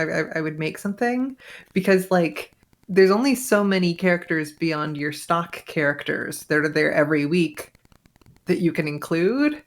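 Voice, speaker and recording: A woman talks casually on a listening stream. Her voice is slightly low, and she muses analytically, pausing and rephrasing as she goes. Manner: musing, halting as she works out ideas.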